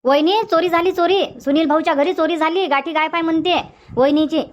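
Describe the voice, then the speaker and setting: A woman's voice: very high-pitched, steady tone, fairly quick. A woman voicing an earnest, flustered younger village sister-in-law in a comic cartoon, chatty and insistent, with a Varhadi Marathi accent. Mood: alarmed, insistent. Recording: dull, lo-fi sound.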